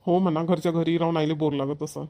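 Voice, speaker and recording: A man's voice: on the high side, level tone, fast talker, warm tone, natural voice. A man playing an easygoing, playful village husband in a cartoon, with a rural Maharashtrian accent. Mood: playful, coaxing. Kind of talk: cartoon family dialogue.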